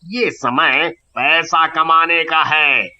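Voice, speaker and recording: Man voicing greedy village merchant, gruff, very tense bright tone, unhurried, animated moral story, muffled lo-fi sound. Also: a little high, full character voice. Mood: dismissive, stern. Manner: gruff, dismissive, drawn-out exclamations. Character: greedy, callous male village water merchant.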